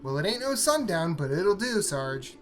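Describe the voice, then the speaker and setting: Higher male voice, easygoing Marine grunt acted by a man, folksy, informal, sci-fi audio drama, faint music. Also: big pitch swings, normal speed. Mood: relaxed, businesslike. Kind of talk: science fiction audio drama acting.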